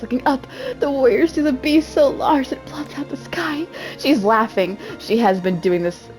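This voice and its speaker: A woman's voice: medium pitch, a little mellow, low-quality recording. A woman giving a mock-dramatic amateur reading, theatrical and self-mocking, often breaking off into casual asides. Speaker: amateur reader.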